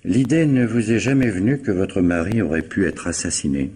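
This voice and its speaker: A deep, husky police commissioner. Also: male voice, animated, moderate pace, a little mellow. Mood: insistent. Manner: dry, probing, insinuating. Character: probing, dryly insistent male police commissioner. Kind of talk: 1950s-style French radio mystery acting.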